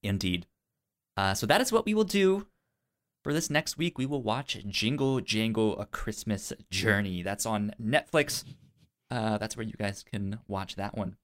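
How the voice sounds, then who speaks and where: A man co-hosting a casual movie podcast, speaking in a halting way with stammered, repeated syllables. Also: fairly low pitch, lively intonation, a bit bright. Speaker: podcast co-host.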